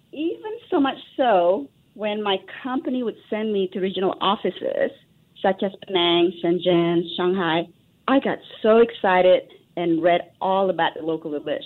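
A woman raised in Texas, answering a radio interviewer in an earnest, reflective way with many fillers and restarts. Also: mid-range voice. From Indonesia, raised in Texas. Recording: tinny phone line.

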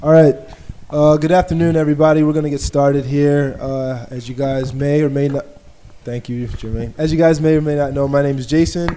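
A man from Baltimore hosting a live podcast interview in front of a small audience, easygoing and relaxed. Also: a little high, a little fast, hardly any pauses, a little hiss or hum. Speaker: podcast host.

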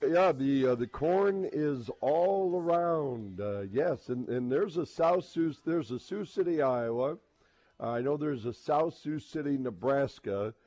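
Chatty American man, slightly high-pitched. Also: animated, measured pace, dark tone. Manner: chatty, rambling, acts out quoted exchanges. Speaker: radio host and sportscaster. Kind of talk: casual radio banter.

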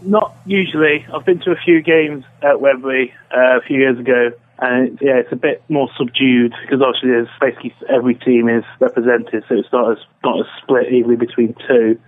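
A man's voice: medium pitch, steady tone, brisk, few pauses. A British man speaking informally as an interview guest. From United Kingdom. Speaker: interview guest, fan club representative. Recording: dull band-limited remote line.

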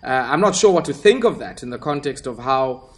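A man hosting a radio talk show and pressing a guest with questions, steady with frequent fillers. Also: mid-range voice, a little fast. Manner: probing, steady interviewer with fillers.

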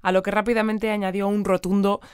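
An actress playing a composed radio newsreader in an audio fiction, reading scripted news in a measured, polite, deadpan way. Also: female voice, medium pitch, clear, resonant tone, dry recording, close to an everyday voice. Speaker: news presenter.

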